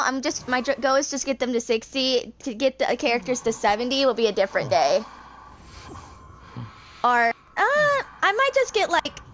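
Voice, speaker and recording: High-voiced young woman streaming a game. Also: a bit bright, slight background noise. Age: 20s. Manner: rambling, thinking aloud. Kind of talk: casual rambling gameplay commentary.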